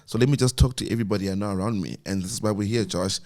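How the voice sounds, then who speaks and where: A man hosting a podcast, chatty and excitable, often tripping over his words. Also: fairly low pitch, very fast. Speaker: podcast host. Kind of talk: casual chat.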